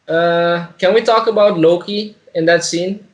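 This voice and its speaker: A man's voice: sits high, fairly even intonation, slow pace. A man co-hosting a podcast, chatting casually with friends in slangy, sweary language. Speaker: podcast co-host.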